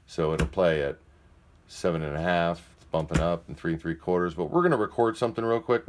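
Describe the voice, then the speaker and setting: A man giving a casual, unscripted product demonstration in a plain-spoken, candid and somewhat hesitant way. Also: sits low. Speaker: presenter of a used tape deck demonstration. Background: music playing from the tape deck at times.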